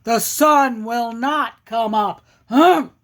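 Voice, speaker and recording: A woman's voice: on the high side, drawn-out words. A woman voicing a stubborn, proud, grumpy big male bear in an animal folktale, with emphatic, blustering harrumphs. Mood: stubborn, huffy.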